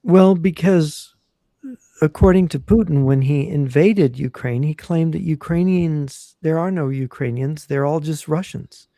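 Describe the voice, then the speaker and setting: A man talking as a guest on a podcast, explaining at a measured pace with many fillers and restarts. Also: fairly high pitch, gravelly, warm tone, dry recording, clean studio sound. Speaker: guest analyst. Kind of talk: casual podcast interview.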